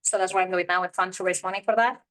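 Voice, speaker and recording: A woman's voice: on the low side, steady tone, very fast, fairly bright tone. A woman, chatting candidly as an interview guest and often saying "you know" and "like". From Spain. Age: 30s. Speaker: interview guest, real estate investor. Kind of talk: casual interview chat.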